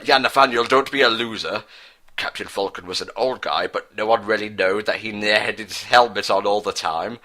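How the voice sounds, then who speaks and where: A man's voice: on the low side, pushed and bright. A man reading a story aloud as narrator, in a mock-dramatic style. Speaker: narrator, fan fiction reader.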